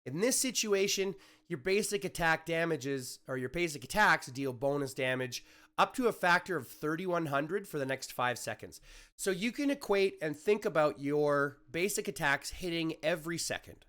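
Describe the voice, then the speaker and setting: A man with a slightly raspy voice, still getting over an illness, walking viewers through a strategy game in a chatty way, often correcting himself with fillers. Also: a little high, lively intonation, normal speed, fairly bright tone. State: recovering from illness. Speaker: gaming YouTuber. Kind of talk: casual game guide commentary.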